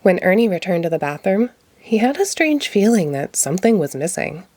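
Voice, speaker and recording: A woman reading a children's storybook aloud in an expressive storytelling manner. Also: medium pitch, a little fast, no echo. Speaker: storybook reader.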